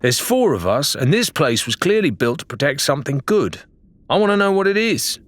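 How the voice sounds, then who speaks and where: A man's voice: on the high side, sing-song, a little fast, no room sound, voice pushed up. A man voicing a bold, hot-headed female pirate in an audiobook, impatient and challenging. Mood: hot, insistent.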